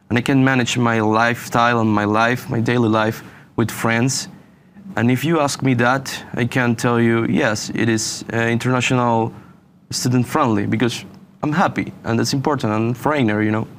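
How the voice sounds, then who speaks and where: A young man from Venezuela, a university student answering interview questions in halting English and saying "you know" often. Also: mid-range voice, slight background noise. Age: young adult. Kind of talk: casual interview.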